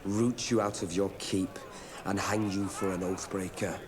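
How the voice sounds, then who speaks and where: An actor playing a composed young lord in a fantasy TV drama, speaking in a measured, formal, commanding way. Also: male voice, sits low, little pitch movement, over a noisy crowd. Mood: cold threat. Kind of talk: period fantasy TV drama acting. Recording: reverberant hall, loud noise behind.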